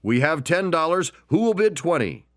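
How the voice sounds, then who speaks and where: A man putting on a loud insistent auctioneer. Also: on the high side, big pitch swings, normal speed, no echo, studio quality, voice pushed up. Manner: calls out bids, insistent.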